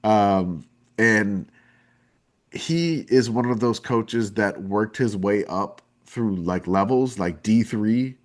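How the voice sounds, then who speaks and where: New Orleans man with a low voice. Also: slow pace. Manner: rambling, enthusiastic, many fillers and restarts. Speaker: podcast guest. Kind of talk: casual chat.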